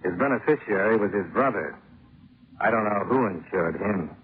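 A man plays a hard-boiled American detective in a vintage radio drama. His low voice is dry, clipped and deadpan, with a cool wry edge. The sound is a muffled old broadcast. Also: normal speed, slight background noise, natural voice. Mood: dry, guarded. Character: cool, wry male private insurance investigator. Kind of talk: 1950s radio drama acting with first-person narration. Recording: muffled 1950s radio recording.

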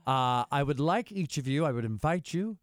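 Animated male podcast host, dry close sound. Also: fairly high pitch, moderate pace, no echo. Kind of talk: playful conversational hosting.

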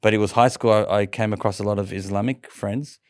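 A man's voice: on the low side, fairly even intonation, fairly quick, no echo, studio quality. A middle-aged man from Sydney with an Australian accent, telling his own story conversationally as an interview guest.

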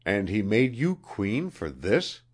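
A man's voice: on the low side, sing-song, slow pace, slightly rough, pitched above the performer's own voice, exaggerated voice. A man voicing an earnest, blunt male adventurer in a storybook reading, direct and questioning. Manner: direct, questioning, sometimes harsh.

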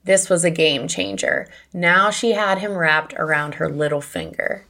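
A woman's voice: fairly low pitch, unhurried, creaky, bright, forceful tone. An American woman hosting a podcast, narrating true crime in a conversational, scripted style. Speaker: podcast host.

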